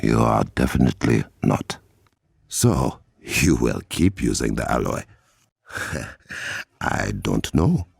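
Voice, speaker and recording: An actor playing a dignified Wakandan warrior king in a video game, with an African accent, a gravelly husky voice and formal, measured, dryly witty phrasing. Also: rumbling low, animated, dry recording, studio quality, exaggerated voice. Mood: dry, curious. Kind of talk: video game character dialogue.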